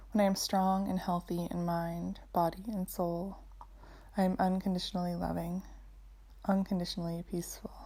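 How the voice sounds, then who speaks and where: A woman teaching yoga and guided meditation in a soothing, measured way with deliberate phrasing. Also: fairly low pitch, vocal fry, dark tone.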